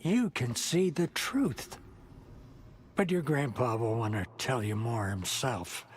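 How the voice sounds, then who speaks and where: An actor playing a weary, wry old Norse mystic in a video game, speaking cryptically and portentously. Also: male voice, mid-range voice, lively intonation, slow, a little hiss or hum. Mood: solemn. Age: older. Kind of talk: cinematic video game acting.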